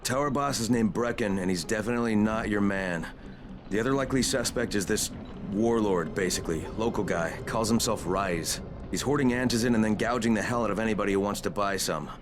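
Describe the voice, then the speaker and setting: A man's voice: on the low side, fast talker, noisy background, lightly acted. A man playing a tough, earnest undercover operative in a video game, talking bluntly and with urgency. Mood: businesslike. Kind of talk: dramatic game cutscene acting.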